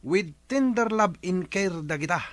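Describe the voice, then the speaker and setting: An actor playing an eager, friendly villager in a comedy radio drama, with an Ilocano accent and an exclaiming manner. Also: male voice, sits high, animated, measured pace, fairly bright tone, no echo. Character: eager, friendly villager bringing garden vegetables.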